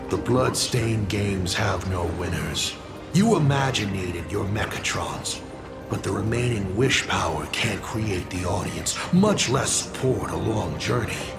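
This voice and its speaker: A man's voice: low voice, lively intonation, a little slow, creaky, over music, full character voice. An actor playing a taunting, theatrical villain in a video game, drawling mockingly as he poses riddles. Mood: mocking, probing.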